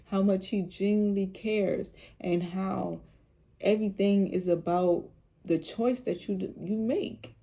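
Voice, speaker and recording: A woman with a low, soothing voice, speaking casually and with rambling repeats as she talks about faith on her vlog. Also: fairly even intonation, unhurried, mellow. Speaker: vlogger.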